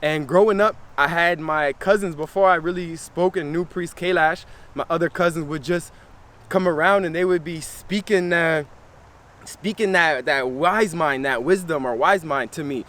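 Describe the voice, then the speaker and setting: A young man hosting a wellness channel, talking casually and earnestly to camera, repeating phrases for emphasis in relaxed slangy speech. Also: on the high side, normal speed. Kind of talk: casual motivational talk to camera.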